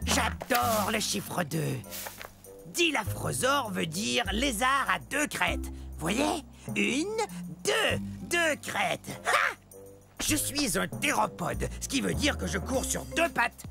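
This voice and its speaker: Cartoon role: proud, playful dinosaur dad, theatrical show-off, very animated, unhurried, music underneath. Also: male voice, high-pitched, vocal fry, exaggerated voice. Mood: proud, excited. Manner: theatrical, drawn-out words, sudden swoops. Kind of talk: cartoon educational monologue to viewers.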